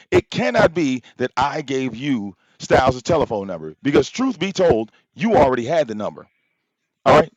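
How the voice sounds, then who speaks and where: A man hosting a live stream, delivering a loud, profane, confrontational rant full of rhetorical questions. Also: mid-range voice, no room sound. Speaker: livestream host. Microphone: popping mic. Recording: dull, slightly lo-fi, dry sound.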